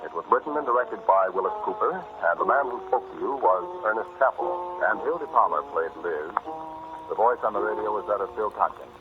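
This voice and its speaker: A man reading scripted credits as a formal, measured 1940s radio announcer, on an old radio recording. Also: lowish voice, lively intonation, phone-quality sound, over music. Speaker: narrator and star announcing credits.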